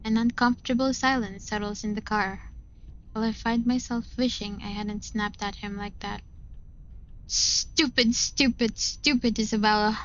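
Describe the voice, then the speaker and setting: A woman's voice: mid-range voice, fairly even intonation, normal speed, highs cut off, a little hiss or hum. A woman reading first-person visual novel narration in a dramatic storytelling style. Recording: music behind the voice.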